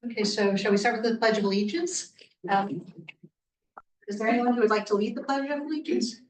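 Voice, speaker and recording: A woman's voice: a little high, brisk. A woman chairing a city commission meeting, polite and procedural. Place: council chamber. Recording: echoey chamber, mic at a distance.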